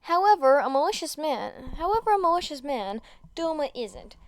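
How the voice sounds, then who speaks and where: A woman reading fan fiction aloud in an amateur, expressive way, often stumbling and going back over phrases. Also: high voice, moderate pace, no room sound, studio quality. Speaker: podfic reader. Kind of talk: casual introduction and expressive amateur story reading.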